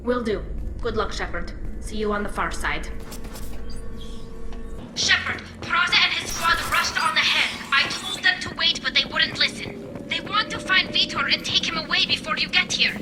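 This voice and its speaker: Over music and echo, a young alien woman leading her squad in a sci-fi game. Her voice is fairly high, earnest and commanding. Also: expressive, moderate pace. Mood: firm, then urgent. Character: young female alien team leader, earnest and firm. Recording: echoey, music behind.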